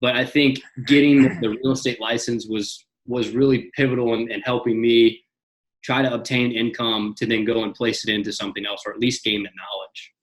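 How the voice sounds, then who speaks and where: A young man in his twenties, a podcast guest talking in an energetic casual way, often repeating and restarting words. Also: medium pitch, a little fast, rough recording. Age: young adult. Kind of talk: casual interview chat.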